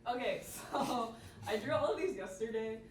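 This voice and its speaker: Brief: young female student presenter, informal and chatty, distant mic with clear room noise. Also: a little high, moderate pace, reverberant. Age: young adult.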